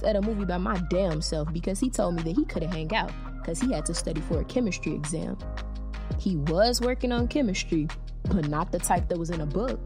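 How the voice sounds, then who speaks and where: A woman playing an aggrieved teenage girl who tells her troubles in a confiding, dramatic way, as in a young adult audiobook. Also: lowish voice. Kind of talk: first-person young adult audiobook narration.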